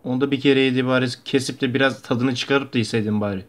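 A man's voice: mid-range voice, fairly even intonation, quick pace. A young man from Turkey giving casual, slangy, joking reaction commentary as a video host. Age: young adult. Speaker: YouTube host reacting to a horror cartoon. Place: bedroom at home.

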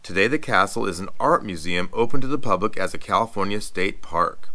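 Bright-voiced man narrating a travel guide. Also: fairly low pitch, fairly quick. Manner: even, informative guide delivery. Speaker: travel vlog co-host. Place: voice-over, not recorded on location.

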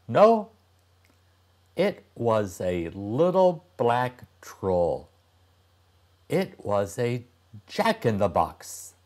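A man in his sixties reading a storybook aloud to young children, deliberately and with dramatic pauses. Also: mid-range voice, sing-song, darkish tone. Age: older, about 60s. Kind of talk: expressive storybook narration.

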